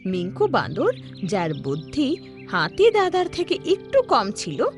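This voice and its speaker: A woman's voice: mid-range voice, huge pitch swings, a little slow. A woman narrating a scripted children's cartoon story. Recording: background music under the voice.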